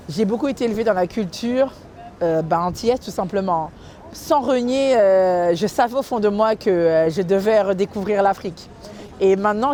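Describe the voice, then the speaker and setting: A woman's voice: medium pitch, darkish tone. A woman who grew up in Guadeloupe giving a personal testimony in an interview as a food truck co-founder. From Guadeloupe, France.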